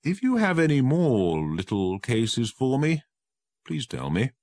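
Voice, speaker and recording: A man voicing a self-assured male detective in a crisp, confident manner. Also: medium pitch, huge pitch swings, unhurried, a little mellow, dry recording, voice pushed up, full character voice. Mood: brisk. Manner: crisp, confident, slightly lifted.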